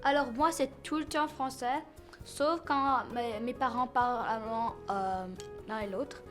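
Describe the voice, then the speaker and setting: A woman's voice: a little high, steady tone, a little slow. A young woman answering casually in an interview, informal and a little hesitant. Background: music.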